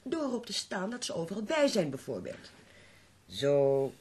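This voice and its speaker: A bossy, practical carer in a radio play. Her voice is very husky and lowish, swinging widely in pitch as she briskly orders and scolds. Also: huge pitch swings, darkish tone, heavily acted. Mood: brisk, impatient. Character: brisk, no-nonsense female carer, bossy but dutiful. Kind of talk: radio drama acting.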